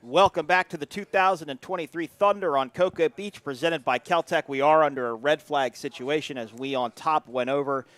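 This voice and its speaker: Fast-talking male race commentator. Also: on the high side, a bit bright, no echo. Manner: upbeat, rapid play-by-play delivery. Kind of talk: live sports commentary. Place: indoor broadcast booth.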